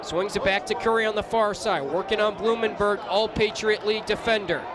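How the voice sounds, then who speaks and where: Play-by-play man, young, high-pitched and excitable, live lacrosse radio call over a noisy stadium crowd. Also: a little fast. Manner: excitable, rises to shouts on big plays. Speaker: play-by-play announcer. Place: sports stadium during a live game. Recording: loud crowd noise behind commentary. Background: crowd and arena noise.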